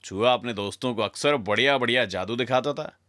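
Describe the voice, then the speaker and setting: A man's voice: mid-range voice, brisk, a bit bright, dry recording, clean studio sound. A man narrating a scripted children's story in a dramatic, direct way as a storyteller.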